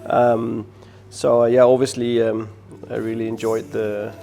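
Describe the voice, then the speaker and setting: A man in his forties from Denmark, a florist hosting a workshop, explaining steps in a friendly, halting way with many ums. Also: medium pitch, level tone, gravelly, warm tone. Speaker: florist host. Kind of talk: casual workshop chat. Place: bright indoor studio with flowers.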